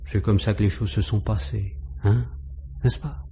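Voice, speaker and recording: Low, dark-voiced male actor as a police inspector, firm and probing, vintage French radio crime drama. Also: steady tone, moderate pace, slight background noise, lightly acted. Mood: firm, accusing. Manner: insistent, probing, authoritative questioning. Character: methodical, firm police inspector interrogating a suspect. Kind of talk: 1950s French radio mystery drama acting.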